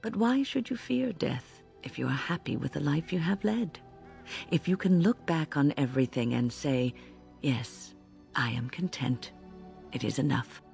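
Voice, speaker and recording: An actress playing a serene elderly healer in a video game, speaking in a measured, philosophical and gently wry way. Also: female voice, sits low, animated, vocal fry, full character voice. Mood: serene, reflective. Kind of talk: video game character dialogue. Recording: game audio with music behind.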